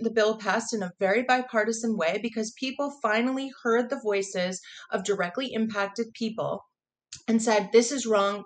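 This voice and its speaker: An American woman giving earnest, emphatic interview answers as an advocate, repeating phrases for stress. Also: medium pitch. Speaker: interview guest, prison phone justice advocate.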